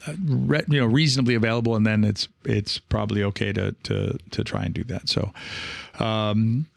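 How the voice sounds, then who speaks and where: A man hosting a casual solo podcast, talking in a rambling, reassuring way with frequent pauses and check-ins. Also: fairly low pitch, steady tone, creaky, dry recording. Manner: hesitant, rambling, reassuring, often checking in with "right?". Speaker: podcast host and experienced pickleball player. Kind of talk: casual solo podcast talk, reading and answering listener comments.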